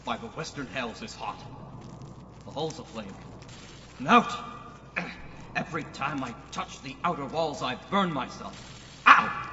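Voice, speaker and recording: A man playing a plucky, bewildered old-fashioned space hero in a radio serial, exclaiming and talking to himself haltingly. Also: medium pitch, lively intonation, natural voice. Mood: pained, alarmed. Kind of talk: pulp radio serial acting. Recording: dull, reverberant, faint noise.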